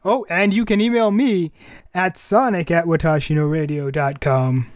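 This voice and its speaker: A man with a fairly high voice hosts a podcast in a casual, conversational way. The sound is dry and close, and his delivery is informal, a bit halting when he improvises. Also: no echo. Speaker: podcast host.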